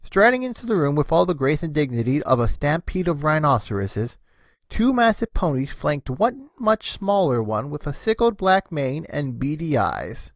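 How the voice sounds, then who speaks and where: Very low-voiced woman narrator. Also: sing-song, moderate pace, dry recording, studio quality. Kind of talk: expressive scripted story narration.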